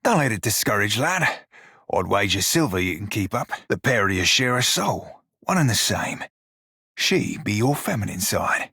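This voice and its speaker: Salty husky pirate rogue for a video game, drawly sailor dialect, wry n bawdy, dry close sound. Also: male voice, medium pitch, fairly bright tone, dry recording, full character voice. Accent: stylised pirate dialect. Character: furry blue male pirate, roguish, salty and bawdy. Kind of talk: video game character dialogue.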